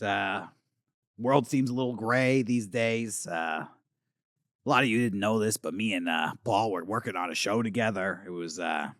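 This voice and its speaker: A man voicing a washed-up male alien puppet star from the eighties, rambling in a crude, boozy, confessional way with a gravelly voice. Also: a little high, a bit bright, no echo, clean studio sound, full character voice. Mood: maudlin. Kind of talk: comedic character monologue.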